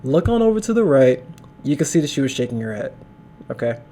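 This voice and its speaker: A man's voice: mid-range voice, sing-song, fast talker, warm tone, slight background noise. A man hosting a reaction video, talking casually and repeating himself, with lots of fillers.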